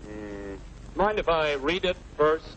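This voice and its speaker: Slow, lively folksy American everyman. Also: on the high side, over a noisy crowd. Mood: polite, then indignant. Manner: unhurried, plain-spoken, gently persuasive. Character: folksy, level-headed everyman who explains patiently. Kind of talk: 1940s cartoon narration. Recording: dull old film soundtrack with music.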